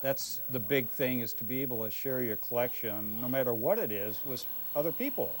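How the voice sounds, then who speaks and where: A man's voice: medium pitch, expressive, a little fast, a little mellow. An older man with an American accent, casually reminiscing as an interviewee. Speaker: interviewee, hobby collector.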